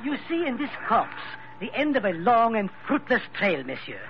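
An old, dull radio recording of a French colonial police officer. His voice is high and swings freely. He is effusive and formal, laying on flattery in a radio adventure. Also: normal speed, creaky, noisy background, exaggerated voice. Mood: flattering, formal. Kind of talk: 1940s-50s radio adventure acting.

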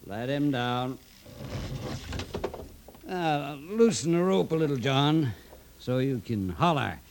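An actor playing a hard, relentless vigilante leader who commands and needles, in old-time radio drama style. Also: male voice, medium pitch, lively intonation, takes it slowly, slight background noise. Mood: coldly taunting. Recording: vintage radio drama recording.

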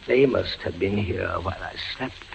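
An actor playing a condemned, fevered army captain in a theatrical, halting, anguished 1940s radio suspense style. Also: male voice, deep voice, gravelly. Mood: fevered, uneasy. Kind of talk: 1940s radio suspense acting, narration and dialogue. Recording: noisy, muffled 1940s radio recording.

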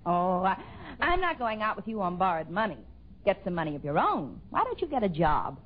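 A woman's voice: lowish voice, very animated, a little fast. A woman playing a haughty, romantic young woman being courted, in lofty 1940s radio comedy style. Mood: questioning. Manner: theatrical, lofty. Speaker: actress in comedy sketch. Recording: muffled old radio with faint noise.

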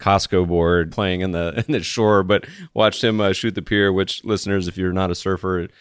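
A lower-pitched man hosts a podcast in an upbeat, joking way. His voice is close and dry, with no room sound. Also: fairly even intonation, normal speed, smooth, no room sound. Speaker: podcast host. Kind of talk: casual interview chat.

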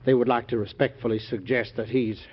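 A man playing a nervous delegate, hesitant and halting, muffled old radio audio. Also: mid-range voice, fairly even intonation, breathy edge, lightly acted. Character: nervous male political delegate, deferential. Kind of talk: 1940s radio acting. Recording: dull old radio recording.

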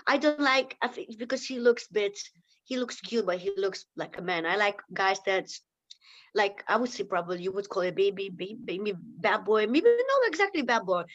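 A woman's voice: mid-range voice, expressive, moderate pace, highs cut off. Blunt Lithuanian-accented woman. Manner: blunt, swears freely, laughs often. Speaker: interview guest. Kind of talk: casual candid interview.

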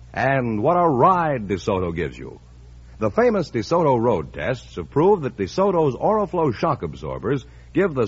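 A man's voice: mid-range voice, breathy edge, dull audio. An American man announcing in a polished, emphatic 1950s radio advertising style on a vintage recording.

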